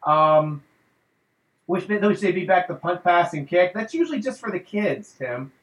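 A man's voice: high-pitched, lively intonation, normal speed. Rambling man on a roomy call. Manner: rambling, laughs often, many fillers. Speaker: podcast host. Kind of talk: casual fan talk show chat. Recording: roomy echo.

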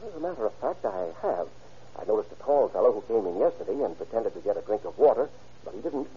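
Worried hesitant business man, up n down pitch, mellow, muffled old radio. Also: very animated, fast talker, breathy edge, heavily acted. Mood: puzzled, earnest. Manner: hesitant, stammering. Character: anxious, eager businessman wanting to help police. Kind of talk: old-time radio drama acting.

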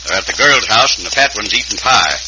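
An actor playing a gruff, vengeful American outlaw boss who talks in blunt slang, in old-time radio Western style. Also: male voice, fairly low pitch, animated, fairly quick, lightly acted. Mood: scheming, menacing. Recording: hissy vintage radio recording.

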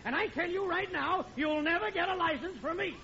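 An actor playing a stern, exasperated middle-aged American driving examiner in an old radio sitcom, speaking in an official, deliberate way. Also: male voice, extremely high pitch, bright, forceful tone, a little hiss or hum, full character voice. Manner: deliberate, official, building to indignant speeches. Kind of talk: 1950s radio sitcom acting. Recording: muffled old radio recording with studio audience.

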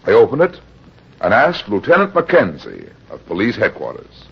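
A muffled vintage radio recording carries a tough American private eye. The voice is husky and sweeps widely in pitch, yet stays terse and matter-of-fact, in pulp noir style. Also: male voice, wildly swooping pitch, normal speed, full character voice. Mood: tense, grim narration. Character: hard-boiled, unsentimental male private detective in pulp radio drama. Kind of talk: old-time radio noir acting and narration.

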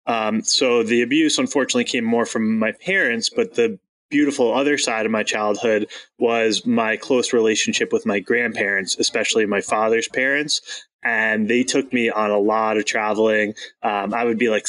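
A man's voice: fairly low pitch, a bit bright, poor audio. Earnest man from Long Island. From Long Island, New York. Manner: earnest, open, with occasional laughs and fillers. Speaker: guest. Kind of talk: candid interview conversation.

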